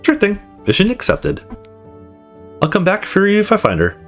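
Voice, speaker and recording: Someone doing an excited young woman, lively pitch. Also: moderate pace, faint music behind. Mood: eager.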